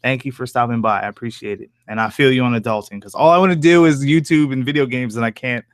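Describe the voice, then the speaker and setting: A young man with a soft voice hosting a livestream, chatting casually in rambling, slangy, profane talk. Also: medium pitch, fast, no room sound, studio quality. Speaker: livestream host, horror story narrator. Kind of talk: casual livestream chat with viewers.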